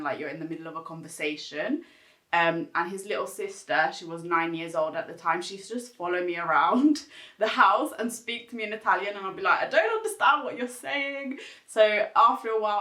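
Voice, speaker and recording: A woman's voice: on the low side, sharp tone, roomy sound. A woman vlogger talking casually through a makeup tutorial, chatty and rambling with lots of filler words. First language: English. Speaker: beauty vlogger.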